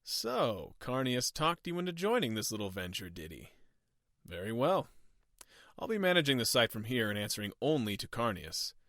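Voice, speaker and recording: A man's voice: mid-range voice, sing-song, normal speed, a bit bright, no room sound, studio quality. A man voicing a curt, officious imperial official for a fantasy game audition, formal and businesslike. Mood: cool, commanding. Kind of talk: game character audition read.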